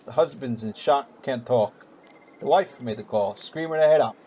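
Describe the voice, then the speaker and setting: A man's voice: mid-range voice, normal speed, warm tone, close to an everyday voice. A man playing a grim, weary police detective in a radio play, speaking in dramatic dialogue. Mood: grim, urgent. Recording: clear hum and background noise.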